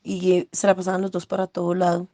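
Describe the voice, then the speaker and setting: A Colombian-accented woman in her forties casually sharing memories, halting as she searches for words. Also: on the low side, monotone, warm tone, dry recording. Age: 40s. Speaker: interviewee. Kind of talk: casual recollection.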